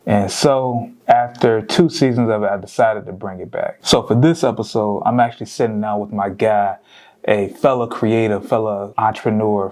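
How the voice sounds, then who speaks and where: A man hosting an interview show, chatting casually and hyping up his guest, with quick bursts and slow stressed phrases. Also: on the low side, a little mellow.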